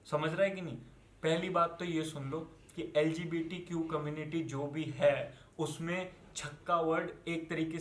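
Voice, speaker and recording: High-pitched angry young Indian man, echoey room. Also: a bit bright. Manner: scolding, confrontational, swearing, rhetorical questions; switches between Hindi and English. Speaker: online commentator. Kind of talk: angry unscripted rant to viewers.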